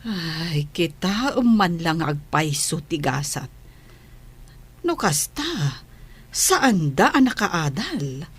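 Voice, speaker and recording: A woman's voice: low-pitched, sing-song, takes it slowly, fairly bright tone, breathy edge, heavily acted. A woman playing a sociable older village schoolteacher, chatty and exclamatory in an audio drama. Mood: gossipy concern. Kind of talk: Philippine audio drama acting.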